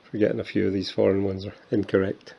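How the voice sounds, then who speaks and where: A man in his fifties with a Scottish accent talks in a fairly low voice, chatty and self-deprecating, often stumbling over his words. The recording is dull and lo-fi, like a home camera mic. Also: monotone, fast talker, vocal fry, breathy edge. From Scotland. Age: 50s. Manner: chatty, self-mocking, many fillers and restarts. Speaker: coin collector. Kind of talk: casual show-and-tell vlog.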